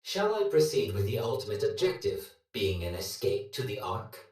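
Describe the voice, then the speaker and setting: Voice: low voice, big pitch swings, moderate pace, darkish tone. An actor voicing a dutiful, emotionless robot assistant in a video game, with formal, systematic delivery and robotic processing. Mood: formal, neutral. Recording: heavily echoing, distant processed sound.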